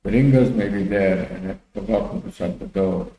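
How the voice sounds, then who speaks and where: A man's voice: on the low side, moderate pace, mellow, reverberant, natural voice, poor audio. A man voicing a troubled, humble old father in a storybook reading, earnest and exclaiming. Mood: resolving, nervous. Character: Toby, troubled humble old father, easily appalled, self-reproachful.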